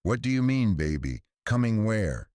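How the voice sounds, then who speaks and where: A man voicing an anxious, tender mother in an audiobook, with gentle, concerned questioning. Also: on the low side, big pitch swings, moderate pace, dry recording, clean studio sound, pitched above the performer's own voice. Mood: worried, steady.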